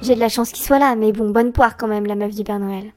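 A woman's voice: mid-range voice, fairly even intonation, a little fast, faint music behind, natural voice. A woman playing a fed-up, sweary Mother Christmas turned hacker, sardonic and slangy, in a comic audio drama. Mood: sardonic complaining. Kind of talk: comic audio fiction acting.

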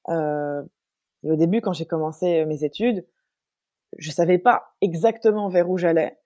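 A young woman from France, a guest talking in a casual interview, reflective and thoughtful, pausing often with fillers and restarting her sentences. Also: lowish voice, steady tone, mellow, no room sound, clean studio sound. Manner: reflective, many hesitations and restarts.

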